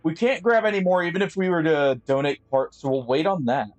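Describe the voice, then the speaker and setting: Male game streamer, light higher voice, relaxed think-aloud commentary full of fillers. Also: fairly quick. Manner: friendly sign-off to viewers. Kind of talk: live gameplay commentary.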